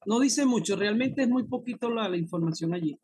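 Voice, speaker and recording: A man's voice: high voice, expressive, a little fast, a little mellow, husky. A man from Venezuela taking part in an online study group, speaking hesitantly with many fillers. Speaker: workshop participant.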